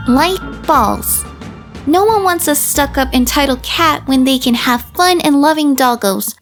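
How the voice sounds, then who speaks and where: A woman's voice: high voice, a little slow, faint music behind. A woman voicing a wary, protective rescue dog in a visual novel, with a blunt, world-weary manner. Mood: indignant. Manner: blunt, defensive. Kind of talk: character acting in a visual novel.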